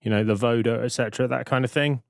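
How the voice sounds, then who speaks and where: A man's voice: medium pitch, steady tone, fast talker, vocal fry, dry recording. Man narrating a tutorial, dry close sound. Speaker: music software tutor. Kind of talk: casual tutorial narration over screen recording.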